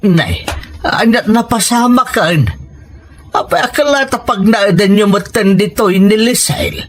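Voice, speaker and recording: A man's voice: high-pitched, animated, measured pace. A man with a Filipino accent voicing a tender, anxious elderly grandparent in an audio drama. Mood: alarmed, concerned. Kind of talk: scripted audio drama acting.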